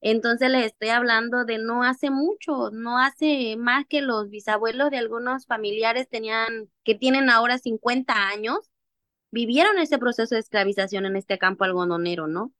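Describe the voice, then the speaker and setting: A young adult woman from Mexico's Costa Chica, with a Costa Chica accent, telling her own story in an interview in an animated way. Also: a little high, normal speed, no room sound, studio quality. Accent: Costa Chica Mexican Spanish. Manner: animated, acts out remembered dialogue. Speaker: interview guest, activist. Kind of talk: personal storytelling in conversation.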